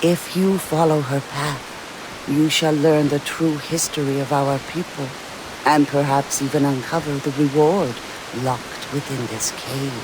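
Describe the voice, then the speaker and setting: An actor playing a solemn, grateful Native elder man who speaks formally and in a measured way, like a storyteller in a video game. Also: a little high, fairly even intonation, background noise, natural voice. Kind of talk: video game dramatic acting. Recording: music and rain behind the voice.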